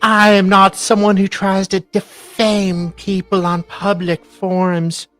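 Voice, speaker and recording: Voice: mid-range voice, little pitch movement, takes it slowly, fairly bright tone, no room sound, faint music behind, pitched above the performer's own voice. A performer voicing an aggrieved, self-righteous woman in a melodramatic, pompous dramatic reading. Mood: pompous indignation.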